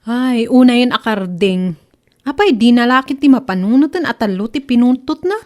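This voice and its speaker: Woman acting high pitch, Ilocano accent, nosy disapproving neighbour friend, comedy radio drama. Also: lively intonation, moderate pace, a little mellow, lightly acted. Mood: inquisitive. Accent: Filipino Ilocano.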